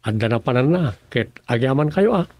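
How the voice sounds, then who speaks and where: A man's voice: mid-range voice, lively intonation, fairly quick, darkish tone, no echo. An actor with an Ilocano Filipino accent playing a chatty, meddling village man in a comedy radio drama. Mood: chiding.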